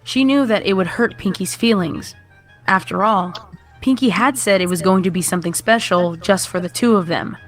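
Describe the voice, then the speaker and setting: A woman's voice: medium pitch, moderate pace. A woman in her twenties narrating a scripted story as a reader of fan fiction. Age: 20s. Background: background music.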